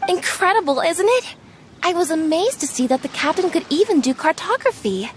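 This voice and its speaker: A soft-spoken earnest navigator. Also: female voice, very high-pitched, animated, normal speed, slight background noise. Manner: soft, polite and explanatory, with light laughs. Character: studious young female ship navigator, gentle and earnest. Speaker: ship's navigator. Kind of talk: game character dialogue. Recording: game audio with faint background music.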